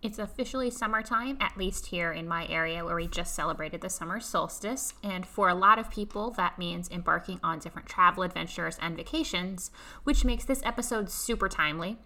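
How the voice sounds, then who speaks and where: A woman's voice: on the low side, a little fast, pushed and bright. A woman hosting a podcast, giving a polished, upbeat intro and then interviewing a guest. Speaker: podcast host.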